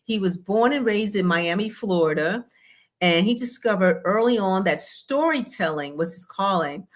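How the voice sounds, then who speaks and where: A woman's voice: mid-range voice, animated, moderate pace. An American woman from the East Coast, speaking as a show co-host and giving introductions. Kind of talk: upbeat show introduction and guest introduction.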